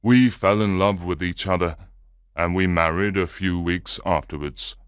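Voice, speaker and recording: Rich: A troubled husband from a storybook, earnest and anxious as he lays out his story, as a man reads him. His voice sits fairly low and the sound is close and dry. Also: a little slow, clear, resonant tone, no room sound. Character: troubled, upset married businessman.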